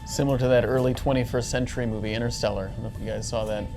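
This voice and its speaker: A man with a mid-pitched voice talks through his reasoning as a player in a tabletop game. He is persuasive and casual, with music behind him. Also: flat delivery, rapid-fire, darkish tone. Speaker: tabletop role-playing player. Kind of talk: casual in-character group discussion. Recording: background music under the voice.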